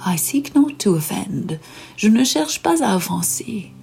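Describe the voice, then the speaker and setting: A woman from Alberta with an Alberta farm French accent, reciting bilingual spoken word poetry in a slow, emphatic, defiant way. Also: medium pitch, big pitch swings, creaky, darkish tone. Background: guitar music.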